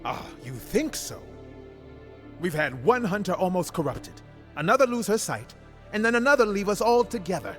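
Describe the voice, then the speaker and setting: A man playing an indignant, sceptical council member in a fantasy game, challenging others with sharp retorts. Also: high-pitched, sing-song, moderate pace, soft background music. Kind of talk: dramatic fantasy game cutscene acting.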